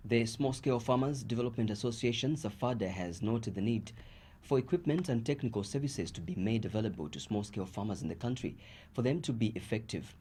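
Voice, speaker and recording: A man's voice: lowish voice, dark tone, slight background noise. A man with a Zambian accent reading a scripted news bulletin. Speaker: news reader.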